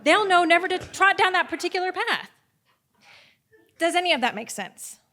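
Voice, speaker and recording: A woman's voice: extremely high pitch, expressive, normal speed, bright, forceful tone, heavily acted. A woman playing an earnest, persistent medieval mother who homeschools her son, coaxing and instructive, in a live stage audio play.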